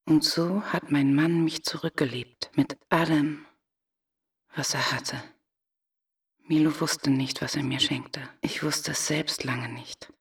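An actress playing a wounded, haunted woman in a radio play, speaking in an intimate, breathy half-whisper. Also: low voice, steady tone, slow pace, full character voice. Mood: hushed, sorrowful.

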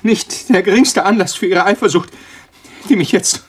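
A man's voice: sits high, normal speed, breathy edge. An actor in a German radio play playing a cornered male scientist, agitated, sarcastic and defiant. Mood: frantic, pleading.

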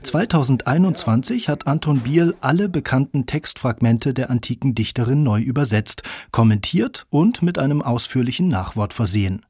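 A male reporter narrates a scripted documentary. His voice sits at middle pitch with a slight huskiness, and he delivers each phrase articulately in a close, dry studio sound. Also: expressive, normal speed, few pauses, darkish tone, breathy edge, dry recording.

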